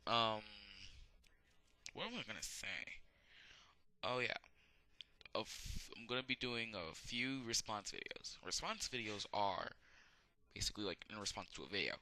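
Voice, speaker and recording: A man's voice: fairly low pitch, bright, forceful tone, no room sound. A young man giving a rambling, casual movie review, full of fillers and restarts, with a sore throat. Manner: halting, many fillers and restarts. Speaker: amateur video reviewer.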